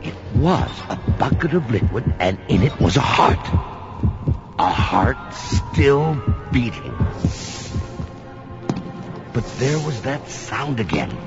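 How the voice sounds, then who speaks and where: An actor playing a haunted, melodramatic man who tells a ghoulish horror tale with theatrical pauses and repetitions. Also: medium pitch, big pitch swings. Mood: suspenseful. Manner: theatrical, halting repetitions for suspense. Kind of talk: dramatic horror storytelling. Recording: dull recording under loud music.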